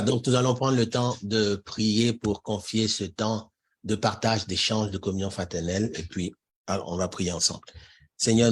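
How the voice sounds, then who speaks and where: Deep husky man hosting a ministry talk. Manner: animated, repeats himself, frequent hein and n'est-ce pas. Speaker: host. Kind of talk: online ministry interview with prayer.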